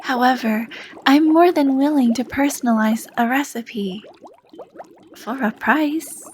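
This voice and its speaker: A woman playing a charming, sly potion shopkeeper, talking slowly and softly with a teasing edge and dipping into whispers. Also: high-pitched, a little hiss or hum. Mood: welcoming. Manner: slow, soft-spoken, teasing, drifting into whispers. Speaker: ASMR roleplay performer. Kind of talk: ASMR roleplay.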